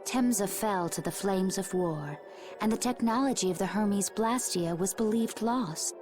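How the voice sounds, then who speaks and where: A composed, knowledgeable video game woman played by an actress lays out grave history. Her delivery is measured and technical, with music clearly playing behind her. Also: mid-range voice, close to an everyday voice. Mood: grave, explanatory. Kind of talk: scripted video game acting.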